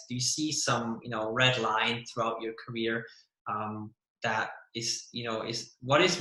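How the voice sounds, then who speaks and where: A man's voice: mid-range voice, pushed and bright, echoey room, rough recording. A man hosting a podcast interview, asking long, enthusiastic questions that wander before reaching their point. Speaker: podcast host.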